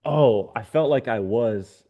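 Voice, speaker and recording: A man chatting casually as he reviews his own work, halting and self-critical in manner. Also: medium pitch, narrow, tinny audio. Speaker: radio presenter being coached. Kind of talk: casual conversation.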